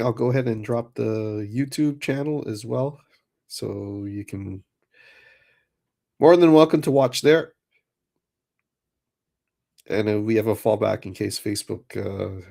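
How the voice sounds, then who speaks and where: A man hosting a livestream card break, chatting casually, a little hoarse and nasal from a cold. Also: mid-range voice, measured pace, long pauses. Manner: relaxed, chatty, many fillers.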